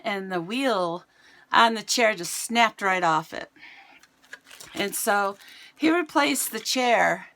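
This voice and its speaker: Middle-aged woman vlogger, raspy today, chatty and self-mocking, plain mid pitch. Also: a bit bright, slight background noise. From United States. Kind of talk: casual chat to camera. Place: home, indoors.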